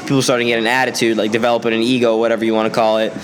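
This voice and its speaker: A man's voice: mid-range voice, flat delivery, racing. Young male podcast guest with a faint Swedish accent, loose and rambling, crowd chatter behind him. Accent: slight Swedish. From Sweden. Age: young adult. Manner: laid-back, rambling, laughs often, lots of "like". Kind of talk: casual podcast chat.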